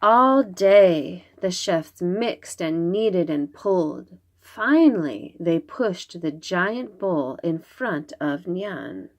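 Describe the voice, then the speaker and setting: A woman reading a children's story aloud, expressive and dramatic, drawing out her words. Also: on the low side, very slow, few pauses. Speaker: storybook reader.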